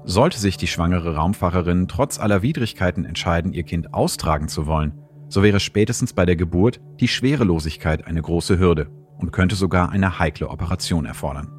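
A man's voice: low voice, a little fast, soft background music. A man reading scripted documentary narration for a podcast in a serious, polished way.

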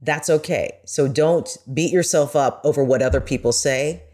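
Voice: medium pitch, moderate pace, darkish tone. Earnest journalist with a mid-range voice. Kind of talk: personal conversational reflection.

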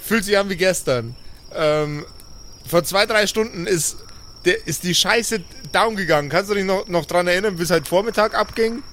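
Higher-pitched man in slangy role-play banter. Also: moderate pace, a bit bright, slight background noise. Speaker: tabletop role-play player. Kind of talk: improvised in-character casual dialogue.

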